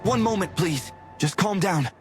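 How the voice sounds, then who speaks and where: Anxious high-voiced guard. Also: male voice, expressive, measured pace. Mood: anxious, insistent. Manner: urgent, pleading. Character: anxious, insistent male guerrilla guard. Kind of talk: video game cutscene dialogue. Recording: music clearly behind the voice.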